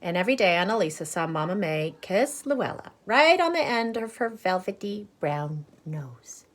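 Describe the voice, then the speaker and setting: A woman reading a picture book aloud to children like a teacher, expressive and deliberate, pausing to explain things with simple asides and questions. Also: mid-range voice.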